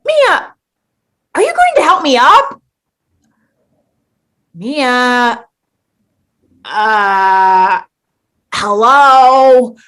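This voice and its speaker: A woman's voice: on the high side, big pitch swings, very slow, pauses often, fairly bright tone, full character voice. A woman playing a bewildered, exasperated sitcom newcomer in a comedic radio play, sarcastic and dramatically indignant. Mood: startled, pleading.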